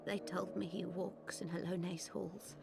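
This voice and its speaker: A woman's voice: on the low side, level tone, creaky, very noisy. A woman playing a grieving, embittered mother in a fantasy video game. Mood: mournful. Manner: sorrowful, slow, formal wording.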